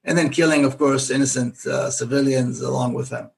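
Middle-aged guy from israel, guest on a podcast, talks it through slowly, lots of restarts, mid-pitched voice. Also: fairly even intonation, gravelly. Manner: thoughtful, repeats and restarts while reasoning. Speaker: author and guest. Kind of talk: podcast interview, earnest explaining.

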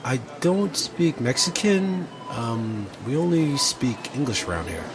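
A woman's voice: extremely low pitch, sing-song, a little slow, pitched below the performer's own voice, heavily acted, low-quality recording. A woman voicing a rude, dismissive young store clerk who talks down to a customer. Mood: condescending. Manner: condescending, curt. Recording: salsa music playing behind.

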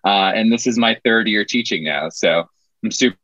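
A man's voice: on the low side, moderate pace, fairly bright tone, no echo, highs cut off. A man from New York, upbeat and joking, telling his story casually as an interview guest. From Bronx, New York, USA. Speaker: guest, high school film teacher.

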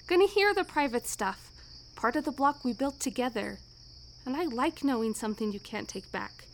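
A woman's voice: sits high, animated, moderate pace, a little hiss or hum. A woman playing a protective, tender but insistent telepathic wife in an audio drama. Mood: tender, firm.

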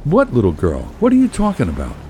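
A man's voice: mid-range voice, huge pitch swings, takes it slowly, faint music behind, voice pushed up. A man acting out a flustered, exasperated husband who exclaims in panicky disbelief. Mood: exasperated, incredulous. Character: flustered, exasperated husband and hapless novice boater.

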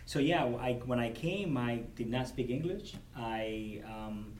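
A man from Cuba speaks with a Cuban Spanish accent, Spanish being his first language. He talks earnestly, often repeating words as he finds his way, at a middle pitch. He is recorded in a roomy space from some distance away, with faint noise behind him. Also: slow pace, darkish tone. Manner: earnest, repeats words while gathering thoughts. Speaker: guest, corporate supplier diversity director. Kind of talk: conversational podcast interview. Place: convention venue.

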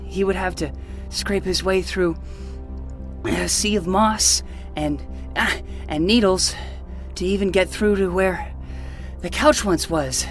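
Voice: mid-range voice, slow, soft background music. An actor playing a dramatic twelve-year-old boy who talks like a self-narrating hard-boiled private detective in a fiction podcast. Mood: brooding, self-important. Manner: theatrical, self-narrating in third person.

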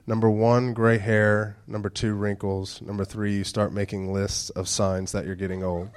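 A man in his thirties, a pastor giving a casual sermon with announcements and dad jokes, talking low, slow and fairly monotone with a stuffed-up nose. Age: 30s. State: stuffed-up nasal congestion.

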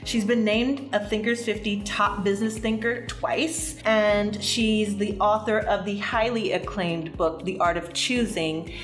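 A woman's voice: medium pitch, fairly even intonation, unhurried, few pauses, roomy sound, faint music behind. A woman hosting a podcast, introducing her guest with upbeat enthusiasm. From New Jersey, USA. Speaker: podcast host.

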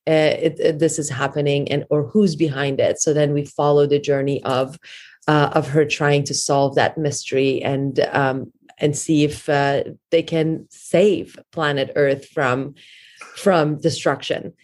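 A woman with a low voice speaks as an interview guest, explaining things in an earnest, steady way. She fills pauses with many small "uh"s and "um"s. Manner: earnest, steady, with frequent fillers. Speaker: author and meditation teacher.

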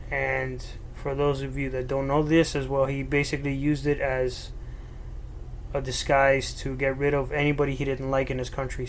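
A young man from Miami, Spanish his first language, talks earnestly and in a rambling way into his laptop in his bedroom. His voice stays fairly level and even in pitch, and a faint hum of room ambience sits behind him. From Miami, United States. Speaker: podcast host. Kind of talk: solo personal storytelling monologue. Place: bedroom at home, alone. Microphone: laptop microphone.

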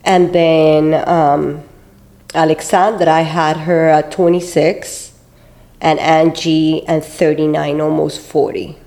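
A woman, chatting as a co-host in a halting, slightly shy way. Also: low-pitched, flat delivery, dark tone. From Puerto Rico. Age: 50s. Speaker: podcast co-host. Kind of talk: casual chat, storytelling.

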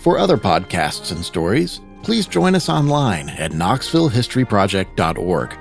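A man's voice: on the low side, very animated, moderate pace, creaky, soft background music. A man reading a scripted outro as the announcer of a podcast.

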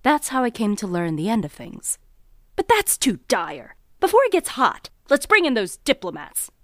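An actress playing a worldly, teasing treasure merchant who narrates an audio drama, sly, confiding and theatrical. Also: female voice, fairly high pitch, huge pitch swings, moderate pace, dry recording, studio quality. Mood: confiding, wry.

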